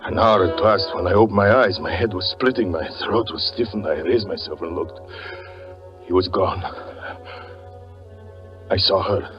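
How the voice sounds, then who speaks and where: Melodramatic, tormented actor as a 1940s radio magician. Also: male voice, deep voice, moderate pace, pauses often, loud music behind the voice, natural voice. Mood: haunted, grim. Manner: melodramatic, swinging between hushed narration and anguished cries. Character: tormented stage magician husband, haunted and fervent, recounting his story. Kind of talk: 1940s radio suspense drama acting. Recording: muffled vintage 1940s radio recording.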